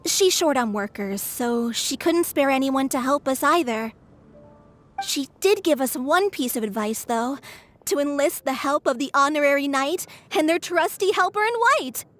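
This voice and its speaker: A woman's voice: high voice, expressive, normal speed, fairly bright tone. A woman voicing an earnest, anxious young woman who rescues animals, speaking politely and eagerly as a game character. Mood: hopeful. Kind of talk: English game dub voice acting.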